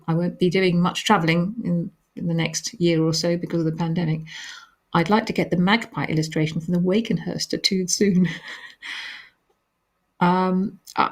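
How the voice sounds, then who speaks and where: A woman with a calming voice hosting a live question-and-answer stream, reading questions aloud and answering them with gentle hesitations. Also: on the low side. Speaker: author hosting a live reader Q&A.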